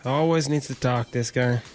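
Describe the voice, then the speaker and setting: A man's voice: medium pitch, lightly acted. A man playing an overworked, irritable and suspicious lab worker in an audio drama, in a casual, questioning manner. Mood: distracted, impatient. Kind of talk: naturalistic audio drama acting.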